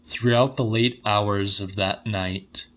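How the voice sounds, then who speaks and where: A man narrating literary horror as a dramatic audiobook, measured, deliberate and grave. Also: on the low side, steady tone, a bit bright.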